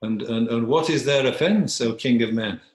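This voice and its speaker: A man's voice: mid-range voice, moderate pace, echoey room, natural voice. A man voicing a wise, bold storybook dog who courteously and formally admonishes a king. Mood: respectful questioning.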